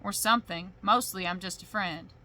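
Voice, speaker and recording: A woman voicing a persistent, deadpan male investigator in a bedtime story reading. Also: mid-range voice, normal speed, natural voice. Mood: probing. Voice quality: clipped, deadpan. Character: dry, persistent male investigator, friend of the victim.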